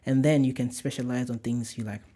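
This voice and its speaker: A man's voice: medium pitch, steady tone, a little fast, dark tone, slightly rough. A young man from Nigeria with a light Nigerian accent, hosting a casual solo podcast in an upbeat, chatty, rambling way. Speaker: podcast host.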